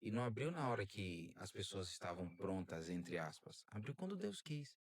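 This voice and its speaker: A man hosting a podcast interview, talking in a lively way and drifting into preacherly asides. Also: fairly low pitch, normal speed. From Brazil. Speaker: podcast host. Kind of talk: casual interview.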